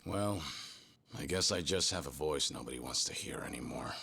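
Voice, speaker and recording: Gravelly, deep-voiced stoic ex-gangster. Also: male voice, animated, moderate pace, pushed and bright, exaggerated voice. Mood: wry, dejected. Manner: terse, understated. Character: stoic, weary middle-aged male ex-gangster, brooding and courteous. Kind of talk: dramatic video game acting.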